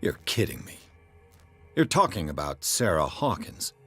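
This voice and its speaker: A tense investigator escaping captivity in a horror game. His voice is low and slow, yet it moves around with urgency. He is terse and bewildered, pressing hard for answers. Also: big pitch swings, a bit bright, breathy edge, faint music behind, exaggerated voice. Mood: probing, disbelieving. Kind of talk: dramatic video game voice acting.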